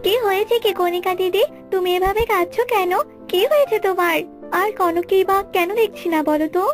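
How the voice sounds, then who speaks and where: High-pitched earnest mother bird voiced by a woman. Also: moderate pace, faint music behind. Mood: concerned soothing. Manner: earnest, imploring. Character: worried, caring mother bird, determined to help. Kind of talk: children's fairy-tale cartoon voice.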